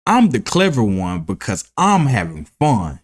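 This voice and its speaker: A man voicing a carefree, mocking grasshopper in a children's storybook, with a teasing, drawn-out delivery. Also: fairly low pitch, huge pitch swings, slightly rough, heavily acted. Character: carefree, mocking young male grasshopper who loves play. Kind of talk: children's storybook read-aloud.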